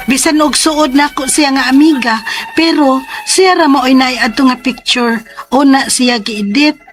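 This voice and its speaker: A high-voiced, distressed schoolgirl, over music. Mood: aggrieved. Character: distressed teenage schoolgirl confiding in her mother. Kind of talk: Cebuano radio drama acting and letter narration. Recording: music behind the voice.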